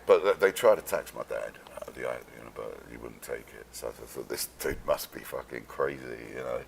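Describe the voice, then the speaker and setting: A man with a London accent and an extremely low voice that crackles with gravel. He tells his stories in a loose, halting, streetwise way and swears freely, like an interview guest chatting casually. Some noise sits behind him. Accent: London English. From London, England.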